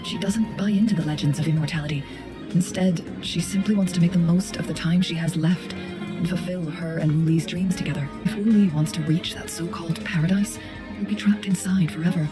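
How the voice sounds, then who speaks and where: A woman playing a composed, perceptive strategist in a video game, speaking in a measured, analytical way. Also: on the low side, steady tone, over music, close to an everyday voice, poor audio. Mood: calm, pensive. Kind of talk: scripted video game dialogue.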